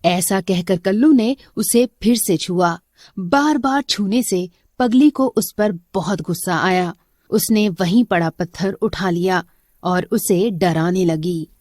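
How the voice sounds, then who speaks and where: Slow-paced woman storyteller, dry sound. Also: mid-range voice, darkish tone, no echo. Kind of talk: scripted story narration.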